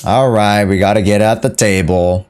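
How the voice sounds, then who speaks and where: A man's voice: fairly low pitch, steady tone, moderate pace, darkish tone, clean tone. A man giving casual live gameplay commentary, playful and exclaiming, often repeating his phrases. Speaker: game livestreamer.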